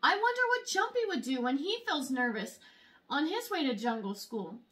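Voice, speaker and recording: A young woman reading a children's picture book aloud in an expressive, exaggerated storytelling way. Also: high voice, moderate pace. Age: young adult. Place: small room at home, indoors.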